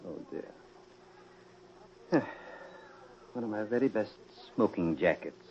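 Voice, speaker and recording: Low, suave, devilish prince. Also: male voice, lively intonation, slight background noise. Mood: mockingly polite. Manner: unhurried, urbane, mockingly polite. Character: suave sinister prince, the Devil in gentleman's guise. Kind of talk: 1940s radio mystery acting. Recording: dull old-time radio recording.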